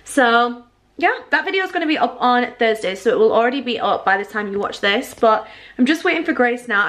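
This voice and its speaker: A woman in her twenties chatting casually to the camera for her vlog, upbeat and quick with asides to viewers. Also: on the high side, a bit bright, no echo. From England. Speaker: vlogger. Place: at home, then inside a parked car. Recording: car and traffic noise behind.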